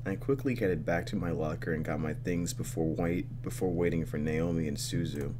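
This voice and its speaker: A man hosting a gaming video, reading game text aloud and commenting with expressive, dramatic delivery. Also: low-pitched, moderate pace, background noise. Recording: game music behind. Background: game music.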